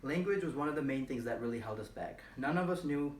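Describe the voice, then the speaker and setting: A man's voice: mid-range voice, animated, fast talker. A young man from Nepal whose first language is Nepali, earnestly reading a formal personal speech with occasional slips and restarts. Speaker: student speaker. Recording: echoey room, voice from far off, faint noise.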